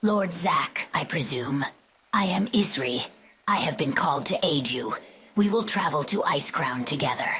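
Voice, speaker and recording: A very deep, heavily husky undead female servant from a fantasy game, acted by a woman. She is cool and loyal, speaking in measured, formal phrases through a whispery rasp. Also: animated, a bit bright, full character voice. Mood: cool, dutiful. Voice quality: hoarse, breathy, whispery. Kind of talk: video game cutscene acting.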